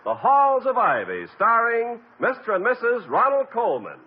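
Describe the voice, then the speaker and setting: A man's voice: very high-pitched, wildly swooping pitch, normal speed, noisy background. A man with an American accent announcing a 1950s radio show in a scripted, presentational style. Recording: tinny 1950s radio with music.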